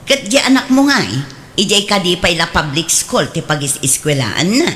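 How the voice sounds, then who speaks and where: Smug, boastful neighbour. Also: female voice, lowish voice, very animated, normal speed, a bit bright, a little hiss or hum. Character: well-off, boastful married neighbour and mother. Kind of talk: comedic radio drama acting.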